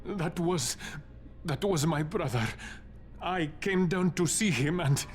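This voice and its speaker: An actor playing a badly wounded, grieving survivor in a video game, gasping in pain. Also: male voice, a little high, slow, faint music behind. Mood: anguished, bitter. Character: badly wounded, grief-stricken male survivor.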